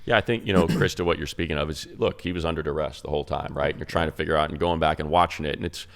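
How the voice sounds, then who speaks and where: A man's voice: extremely low pitch, fairly even intonation, rapid-fire. An American man co-hosting a sports show, talking through analysis in an emphatic, explanatory way with rhetorical questions. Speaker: sports show co-host and radio play-by-play announcer. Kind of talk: sports talk analysis, conversational.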